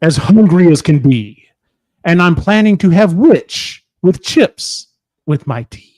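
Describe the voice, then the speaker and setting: A man's voice: expressive, slow, darkish tone, no echo, clean studio sound. A man doing a big hungry storybook dragon. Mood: hungry, boastful. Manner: booming, then high and squeaky when scared. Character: hungry boastful dragon, male, turns cowardly. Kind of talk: storybook read-aloud.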